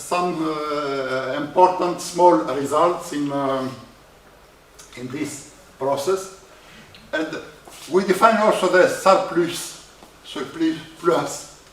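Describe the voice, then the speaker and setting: A man with a Greek accent giving a university lecture on probability, halting often with "uh" in non-native English. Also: on the high side, a little hiss or hum. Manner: halting, many uh fillers, non-native phrasing. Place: lecture hall. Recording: echoey lecture hall from far off.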